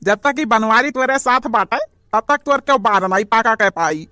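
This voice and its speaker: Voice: mid-range voice, lively intonation, moderate pace, pushed and bright, dry recording, studio quality. An actor voicing a boastful, filmy cartoon village husband who woos in a swaggering way with an Awadhi accent. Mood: flirty reassurance. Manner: swaggering, breaks into crooning. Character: filmy, boastful village husband wooing his new wife.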